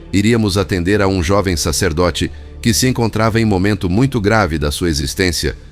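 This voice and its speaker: A man reading a spiritual book as a formal, literary scripted narration. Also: low-pitched. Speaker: audiobook narrator.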